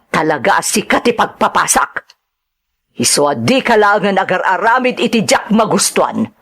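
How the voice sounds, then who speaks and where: A woman's voice: mid-range voice, sing-song, normal speed, a bit bright, breathy edge, heavily acted. A woman plays a middle-aged mother in a radio-style drama. She is domineering and impatient, with a harsh, scolding delivery that bosses whoever is listening. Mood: angry, scolding.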